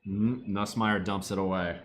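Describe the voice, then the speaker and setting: A man chatting casually to his live stream from home, slipping into sudden excited yelling at a football game. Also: lowish voice, measured pace, slight background noise. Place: home room.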